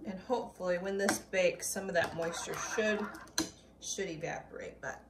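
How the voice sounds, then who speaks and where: A woman's voice: on the low side, normal speed. A young woman chatting casually in a home kitchen while she demonstrates a recipe and tastes as she goes. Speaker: cooking vlogger. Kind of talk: casual cooking demo. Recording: echoey kitchen, voice a bit far from mic, background noise.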